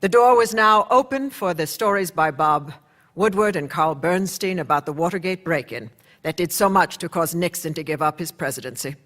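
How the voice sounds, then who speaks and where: A calm, reflective editor narrating the story, voice a touch high. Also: male voice, moderate pace. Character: male newspaper editor looking back on the case. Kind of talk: radio play narration.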